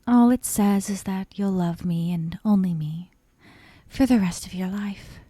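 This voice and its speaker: A woman voicing a possessive, dominant young yandere kidnapper in an ASMR roleplay, softly coaxing and sweetly menacing, often slipping into whispers. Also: on the low side. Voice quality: soft, breathy, often dropping into whispers. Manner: slow, teasing, coaxing with veiled threats. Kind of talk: ASMR roleplay, intimate monologue to the listener. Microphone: close, dry microphone.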